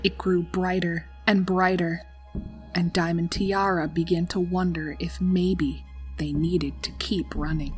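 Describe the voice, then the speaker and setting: A woman narrating a scripted horror story in a dramatic, deliberate storytelling manner. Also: on the low side. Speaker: fan fiction narrator.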